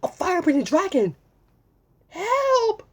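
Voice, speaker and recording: A woman voicing an excitable storybook witch, exclamatory and dramatic. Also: very high voice, slow, pitched above the performer's own voice, heavily acted. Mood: frightened.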